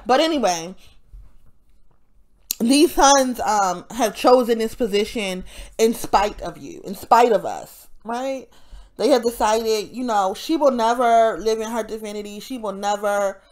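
A woman's voice: a little high, lively intonation, measured pace, darkish tone. Nasal, congested woman rambling. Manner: profane, rambling, frequent self-interrupting laughs. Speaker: vlogger. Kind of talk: casual rambling monologue.

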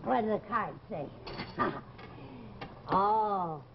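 A woman's voice: medium pitch, lively intonation, drawn-out words. An older woman playing a folksy, gossipy landlady and former stage fortune teller in a television drama, with theatrical exclamations. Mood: chatty, nostalgic. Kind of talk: 1950s television drama acting. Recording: dull, noisy old TV soundtrack.

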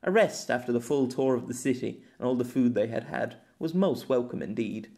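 A man narrates a story in the manner of an amateur audiobook. His voice sits at a medium pitch, and he reads from a script. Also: lively intonation, a little fast, dark tone.

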